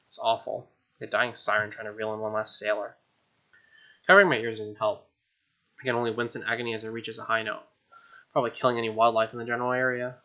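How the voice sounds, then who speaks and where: A man's voice: on the low side, level tone, quick pace, lo-fi sound. A man with an American accent reading a visual novel aloud as a gaming host, with casual asides. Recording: game music behind.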